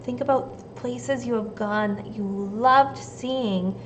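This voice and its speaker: Slow-paced woman reading to children. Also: a little high, slight background noise, poor audio. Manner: deliberate, simple phrasing addressed to young children. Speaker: teacher reading a storybook aloud to children. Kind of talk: expressive storybook read-aloud and simple instruction for kids.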